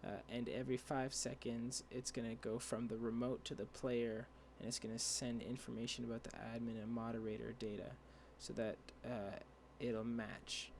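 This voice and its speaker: A young man explaining a coding tutorial over a screen share, rambling and halting with many fillers and restarts, his voice dry. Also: mid-range voice, little pitch movement, vocal fry, darkish tone, slight background noise. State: dry voice, clearing throat. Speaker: tutorial creator.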